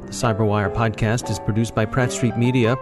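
A man hosting a podcast, reading the closing credits in a brisk, formal way. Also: lowish voice, steady tone. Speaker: podcast host. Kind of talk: scripted credits read. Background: guitar music.

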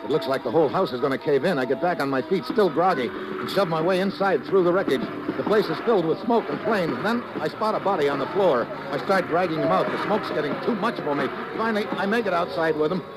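An actor playing a tough American special agent who narrates in blunt, clipped hard-boiled style in a 1950s radio drama. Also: male voice, on the high side, expressive, fast talker, hardly any pauses, heavy background noise, close to an everyday voice. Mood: tense narration. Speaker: lead and narrator. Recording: old radio broadcast with music.

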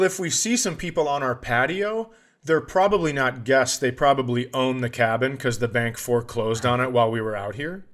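A man's voice: mid-range voice, fairly bright tone. A man hosting a podcast, telling a casual comedic story in a profane, exasperated way and acting out the dialogue. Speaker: podcast host.

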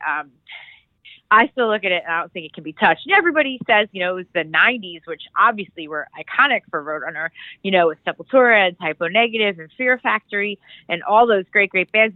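A woman's voice: mid-range voice, no room sound. A woman from the Philadelphia area, stuffed up and nasal with a cold, chatting through her career story as a music publicist in an interview. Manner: chatty, rambling with fillers and restarts. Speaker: music publicist, podcast guest. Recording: tinny phone-like line.

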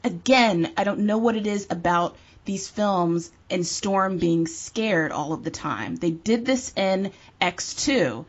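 A woman's voice: medium pitch, animated, slow pace, hardly any pauses. A woman giving emphatic, opinionated views in a casual film discussion, as a panelist. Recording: dull, muffled lo-fi audio.

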